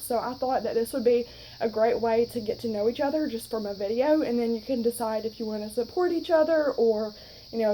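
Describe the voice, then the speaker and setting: A young woman in her twenties with a Southern accent talks straight to her phone in a relaxed, rambling way, full of "you know" and "um". Her voice sits a little high but sounds mellow, with a faint hiss of noise under it. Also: poor audio. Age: 20s. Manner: chatty, rambling, frequent fillers. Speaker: vlogger. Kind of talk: casual chat to camera.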